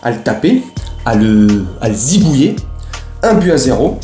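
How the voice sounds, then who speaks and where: A man hosts a chatty football fan recap. His mellow, relaxed voice rings in a roomy space. He uses plenty of slang and speaks directly to the people watching. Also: medium pitch, normal speed, faint music behind. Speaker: host of a football fan show. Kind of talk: casual match recap to camera. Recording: roomy, echoey room sound.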